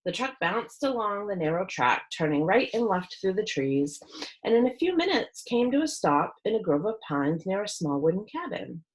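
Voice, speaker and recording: Expressive woman reading a children's story. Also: mid-range voice, moderate pace, poor audio. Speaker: read-aloud storyteller. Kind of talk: expressive children's book narration.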